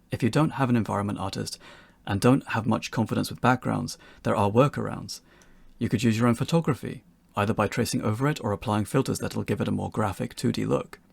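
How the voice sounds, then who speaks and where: Fast-talking man with a low, gravelly voice. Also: a little mellow, no echo. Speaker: presenter of a tutorial talk. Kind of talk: scripted narration for an instructional video.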